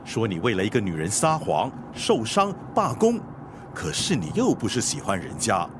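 An actor playing a loyal, nagging chauffeur in a radio drama, earnest and questioning, deferential but blunt. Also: male voice, fairly low pitch, huge pitch swings, normal speed. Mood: nagging, bewildered. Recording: clear street and vehicle noise.

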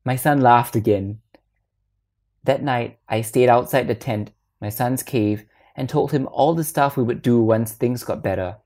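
Woman, literary narration, extremely low pitch, soft dark timbre, measured, expressive storytelling. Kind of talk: literary short story reading.

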